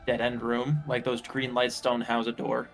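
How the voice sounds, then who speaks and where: A man's voice: mid-range voice, monotone, moderate pace, vocal fry, soft background music. A man playing a tabletop game, exasperated and sighing at the other players.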